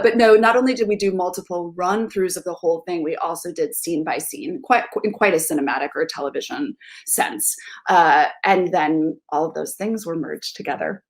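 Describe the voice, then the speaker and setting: A woman in her thirties, a theater director, speaking thoughtfully and emphatically in casual interview answers over a video call from home. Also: fairly low pitch.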